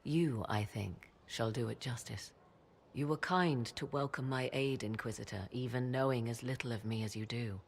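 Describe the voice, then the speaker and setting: An actress playing a proud, mysterious sorceress in a fantasy video game, with theatrical, archaic and measured phrasing. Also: female voice, a bass voice, a little hiss or hum. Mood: solemn, mysterious. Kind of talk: dramatic video game acting.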